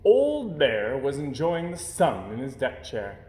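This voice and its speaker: A man reading a children's picture book aloud as an expressive storyteller. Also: mid-range voice, a little slow. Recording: roomy, echoey indoor sound.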